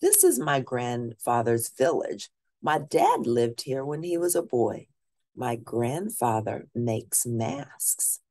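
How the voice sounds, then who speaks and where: A woman with a very deep, low-set voice reads a picture book aloud to young listeners. She takes her time over each line, and her pitch rises and falls in a lively, animated way. The sound is close and dry, with no room echo. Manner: slow, deliberate read-aloud pacing with questions to listeners. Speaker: teacher.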